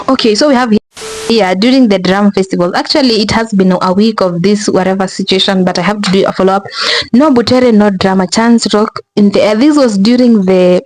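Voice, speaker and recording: A woman's voice: medium pitch, fairly quick, low-quality recording. A young woman with a Kenyan accent, talking casually to her viewers in a rambling, self-correcting way. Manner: rambling, many restarts and filler words. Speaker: vlogger.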